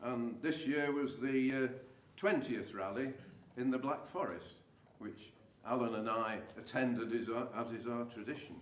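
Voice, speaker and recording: A man's voice: mid-range voice, unhurried, hardly any pauses. A man giving a prepared report to a club meeting. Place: echoey hotel meeting room. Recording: echoey room, distant mic, faint noise.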